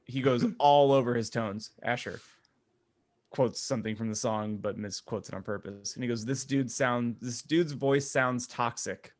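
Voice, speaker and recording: A man's voice: medium pitch, moderate pace. A man co-hosting a podcast, chatting casually and telling stories in a jokey way with laughter in his talk. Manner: jokey, laughs while talking. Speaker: podcast co-host. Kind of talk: casual group chat, retelling exchanges.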